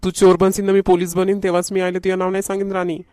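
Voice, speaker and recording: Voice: mid-range voice, completely flat delivery, fast, darkish tone, no room sound, studio quality, natural voice. A performer voicing a food-loving, whiny young schoolboy in a homemade comedy cartoon, with a Varhadi Marathi accent. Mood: teasing, bossy. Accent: Varhadi Marathi from Vidarbha.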